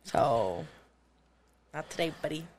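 A woman's voice: low-pitched, expressive, slow pace, a little mellow, slight background noise. A woman in her twenties talking in casual banter as a podcast guest, slangy and sassy. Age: 20s. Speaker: car dealership warranty clerk.

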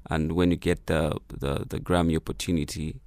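A man's voice: rumbling low, flat delivery, moderate pace, darkish tone, dry recording, clean studio sound. A man who grew up in Kenya, with Swahili as his first language, talks reflectively as a musician guest in a casual interview, full of fillers and restarts. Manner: reflective, many fillers and restarts, laughs easily.

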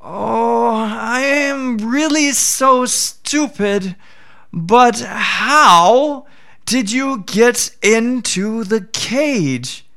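A man voicing a sly, clever little male jackal who feigns slow puzzlement, stretching his words. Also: very high-pitched, expressive, pushed and bright, voice pushed up, full character voice.

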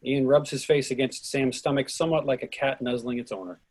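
Husky man reading stage directions. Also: medium pitch, fairly even intonation, fairly quick, darkish tone. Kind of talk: scripted narration of play directions.

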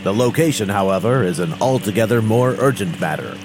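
A man playing the solemn narrator of a monster audio drama, speaking in a measured, portentous way. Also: on the low side, lively intonation, music in the background. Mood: ominous, solemn.

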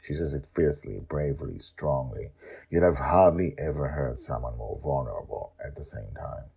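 A man hosting a story podcast, narrating scripted fiction in a theatrical way with dramatic pauses and swoops. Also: very deep voice, expressive, gravelly, mellow, slightly rough.

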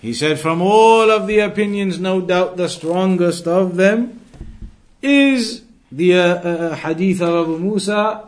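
A man giving an explanatory religious lesson as a teacher, didactic, restating key phrases for emphasis and moving between quotations and explanation. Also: fairly high pitch. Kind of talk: explanatory Islamic lesson, translating quoted Arabic into English.

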